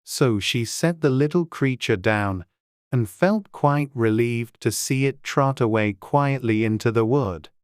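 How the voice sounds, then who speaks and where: A woman narrates a scripted storybook. Her voice is extremely low and her pace is slow and measured. The recording is dry, with no audible room. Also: a little mellow, clean tone, no echo. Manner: measured, unhurried. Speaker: audiobook narrator.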